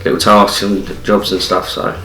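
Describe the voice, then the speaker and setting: A young English man speaks hesitantly in a low, flat voice, full of fillers. He sounds distant in a roomy space, with soft music behind. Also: moderate pace. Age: young adult. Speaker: qualified apprentice. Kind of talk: casual interview answers.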